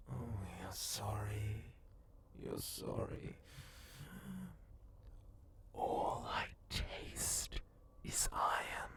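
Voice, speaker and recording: A man playing a menacing, sadistic cyborg gang boss and bounty hunter in a dark ASMR roleplay, in a breathy, gravelly whisper with slow, taunting delivery. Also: mid-range voice. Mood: bitter, menacing. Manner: slow, deliberate, taunting, swearing.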